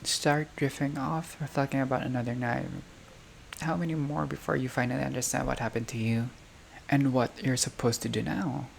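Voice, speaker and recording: A gamer with a creaky, medium-pitched voice reads a visual novel aloud. The delivery is halting, moving between narration and offhand comments to self. There is noise behind the voice in what sounds like a homemade recording. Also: steady tone. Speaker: gaming video creator playing a visual novel. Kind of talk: reading game text aloud with casual reactions. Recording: clearly noisy home recording, unstable audio.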